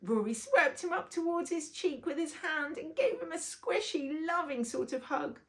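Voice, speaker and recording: A woman narrating a children's book aloud in an expressive storytelling style, as its author. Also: sits high, moderate pace.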